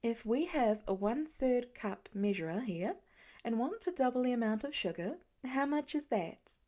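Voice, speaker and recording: A patient, caring mom in an audiobook, voiced by a woman narrator. Her voice is fairly high, and she speaks in a gentle, encouraging, reassuring way. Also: very animated, moderate pace. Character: patient, caring mother of young and teenage children. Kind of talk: audiobook character voice.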